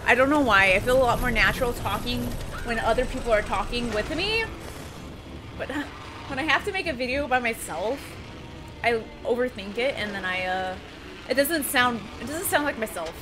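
A woman's voice: a little high, lively intonation, normal speed, low-quality recording. A young woman streaming a shooter game, chatting self-consciously, swearing and laughing as she plays. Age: young adult. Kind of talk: casual gameplay commentary. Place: streaming room with purple lights. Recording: game music loud behind voice.

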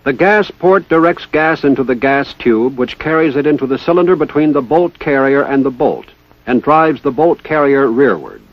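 A man giving formal, precise, scripted narration in the style of a 1960s military instructional film, with measured enunciation. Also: medium pitch, lively intonation, no room sound. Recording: dull 1960s training film soundtrack.

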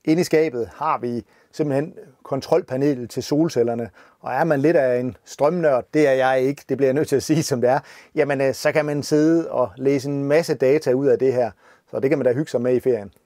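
A man's voice: medium pitch, no room sound, clean studio sound. A man in his forties casually showing and explaining caravan equipment, outdoors at dusk and then inside a caravan. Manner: relaxed explaining, gesturing while showing gear. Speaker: caravan dealer presenting equipment. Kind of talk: casual walk-through demonstration.

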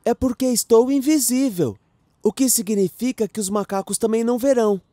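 Cartoon boy engine, played by a woman, Brazilian accent, fairly high, mellow, eager, cheerful, light music. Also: normal speed, dry recording, natural voice. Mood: eager, clever. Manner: eager, wide-eyed, upbeat. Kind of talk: animated children's cartoon dialogue.

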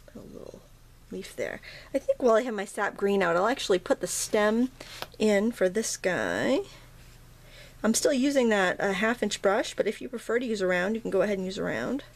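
A woman teaching a watercolor painting tutorial in a chatty, casual way, with many ums and restarts and a slightly hoarse morning throat. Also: medium pitch, lively intonation, normal speed. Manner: chatty, self-interrupting, frequent ums and restarts. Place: indoors at a painting table.

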